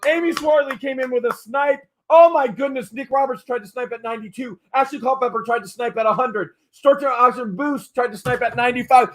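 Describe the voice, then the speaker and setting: A man hosting a live online auction stream, calling out bids excitedly and chatting with viewers. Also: very high voice. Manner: excited, rapid bid calling. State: often loses voice after streams. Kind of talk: fast auctioneer-style live stream chat.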